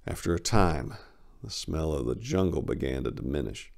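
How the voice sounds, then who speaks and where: A man's voice: a bass voice, sing-song, moderate pace, creaky, a little mellow. A man narrating a short story as a dramatic reading, as its host.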